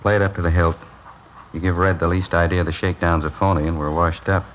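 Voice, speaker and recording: Guy doing a no-nonsense police captain in an old radio show, deep, quick, dull hissy sound. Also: flat delivery, close to an everyday voice. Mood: businesslike. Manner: brisk, matter-of-fact orders. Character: calm, businesslike police captain. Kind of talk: 1950s radio drama acting.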